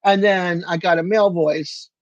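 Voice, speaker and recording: An older woman hosting a casual livestream chat from home, rambling and halting with lots of fillers and restarts. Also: on the low side, steady tone, no room sound. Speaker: livestream host. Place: home room with a fan. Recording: dull lo-fi stream audio.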